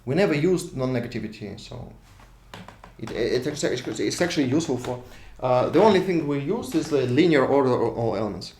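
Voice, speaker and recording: A Russian-accented man lectures at a board in a university classroom, repeating and restarting his words as he thinks aloud. His voice sits at a middling pitch and rings in a roomy hall, now and then drifting away from the mic. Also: animated, brisk, darkish tone, a little hiss or hum. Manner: repetitive restarts, thinks aloud while drawing. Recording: echoey room, voice drifts off mic.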